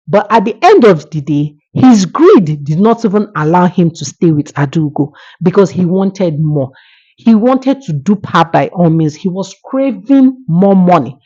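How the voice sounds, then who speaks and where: A woman's voice: fairly low pitch, mellow, breathy edge, muffled recording. A woman telling a folktale in an emphatic, moralizing manner. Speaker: storyteller. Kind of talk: oral folktale narration with moral lesson.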